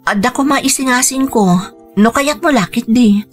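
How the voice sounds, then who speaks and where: A woman acting a gentle, caring family woman in an Ilocano radio drama, speaking in an earnest, measured way. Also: high-pitched, faint music behind, natural voice. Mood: gently persuasive.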